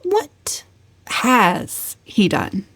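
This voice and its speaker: A woman's voice: a little high, sing-song, extremely slow, fairly bright tone, slightly rough, no echo, voice pushed up, full character voice. A woman voicing a defiant, coolly impudent young woman in a storybook, with pointed delivery. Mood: insistent. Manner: pointed, insistent.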